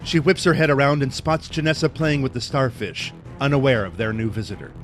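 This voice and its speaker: A man's voice: mid-range voice, brisk, soft background music, lightly acted. A man performing scripted, dramatic narration for a superhero audio drama.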